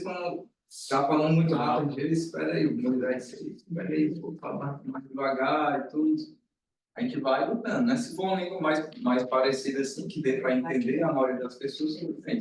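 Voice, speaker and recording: A man with a Brazilian accent explains things informally at a meeting, hesitantly, circling back and often adding tag questions. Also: a little high. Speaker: meeting speaker. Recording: echoey room, distant mic, faint noise.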